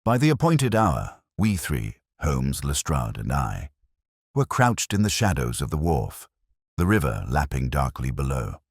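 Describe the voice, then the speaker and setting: A man with an extremely low, slightly husky voice narrates a mystery tale in a grave, formal manner. His measured delivery rises and falls expressively, as in a dramatic audiobook. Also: big pitch swings, no room sound, clean studio sound.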